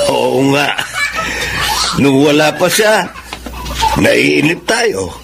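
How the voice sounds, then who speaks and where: A gravelly good-humored, weary grandfather in a television drama. The voice sits a little high, with clear noise behind it. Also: sing-song, extremely slow, full character voice. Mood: playful, tired. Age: older. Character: jovial, weary old grandfather. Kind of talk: Filipino television drama acting. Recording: clearly noisy location sound.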